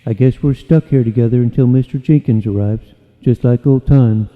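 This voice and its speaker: An actor playing a friendly, patient schoolboy who speaks mildly and drops gentle hints. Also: male voice, mid-range voice, normal speed, long reverb tail, narrow, tinny audio, faint music behind, close to an everyday voice. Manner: mild and gently hinting.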